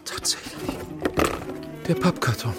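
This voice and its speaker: A man's voice: slow pace, over loud music, close to an everyday voice. An actor playing an anxious, cultured film director in a radio play, a man who talks things over to himself. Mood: nervous. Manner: muttering inventories to himself, crying out when frightened. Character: anxious film director, cultured, self-persuading husband and son. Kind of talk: German radio play acting.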